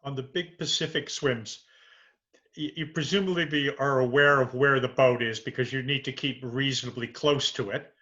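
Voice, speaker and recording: A man's voice: mid-range voice, steady tone, moderate pace, pushed and bright, lo-fi sound. A man hosting an interview over a video call, asking curious questions with frequent stumbling restarts. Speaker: interviewer, show host.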